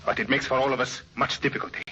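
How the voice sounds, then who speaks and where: A man acts a proud, easily affronted German swindler in a vintage radio play. His voice is bright and forceful, his phrasing formal and foreign. The old recording is dull, with faint noise. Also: mid-range voice, fairly even intonation, racing, lightly acted. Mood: reproachful. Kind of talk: 1950s radio drama acting.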